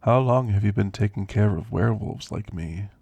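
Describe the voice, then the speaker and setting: A man playing a kindly elderly werewolf in an ASMR roleplay, with a gravelly voice and a folksy, gently chatty manner. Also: on the low side, moderate pace, no room sound. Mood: gentle, friendly. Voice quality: gravelly, creaky. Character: kindly, frail elderly male werewolf, teasing and grandfatherly. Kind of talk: ASMR roleplay, intimate character monologue.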